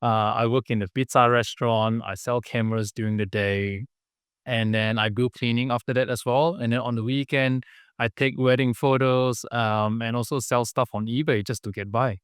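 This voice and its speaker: Smooth-voiced Malaysian-born man, halting. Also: medium pitch, fairly even intonation, no echo, clean studio sound. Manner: earnest, halting, with many fillers and restarts. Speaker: podcast guest, business founder. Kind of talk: casual interview storytelling.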